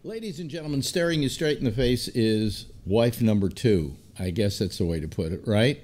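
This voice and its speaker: An American man in his seventies chats as a talk show host. His delivery is casual and rambling, with a very lively, swinging pitch. He speaks into a desk microphone at home. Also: moderate pace. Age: 70s. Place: home room lined with disc shelves.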